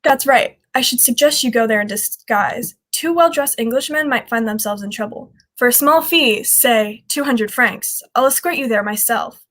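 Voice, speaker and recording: A fairly high-voiced young woman of the underworld, acted by a woman, running a shady café in an old radio drama. She is a charming scoundrel, greedy and playful, always wheedling. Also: normal speed, few pauses, a bit bright. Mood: confiding, coaxing. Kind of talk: 1940s radio drama acting.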